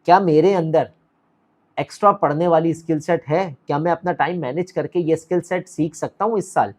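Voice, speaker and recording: A man's voice: fairly high pitch, fairly quick. Man, middle-aged, academy founder, motivational talk, direct and urging. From Pakistan. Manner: direct, exhorting, asks the viewer questions.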